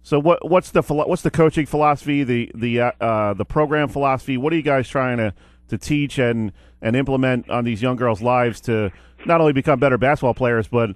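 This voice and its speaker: A man's voice: medium pitch, brisk, no echo. A man hosting a sports radio show, asking chatty questions with frequent fillers and restarts. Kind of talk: casual radio interview. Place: radio studio.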